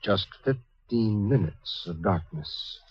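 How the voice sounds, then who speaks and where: A man's voice: on the low side, expressive, slow pace, slightly rough, full character voice. A man playing an uneasy, questioning reporter in a 1950s radio drama, with a puzzled manner. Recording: dull, echoey 1950s radio recording.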